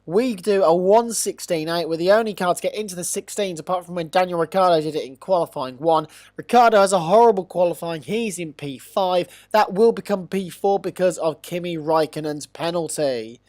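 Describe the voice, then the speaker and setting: A man's voice: high voice, dry recording, clean studio sound. A young man with a blocked-up nose, hosting a gaming channel and giving eager racing game commentary. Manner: eager, rising to excitement on fast laps.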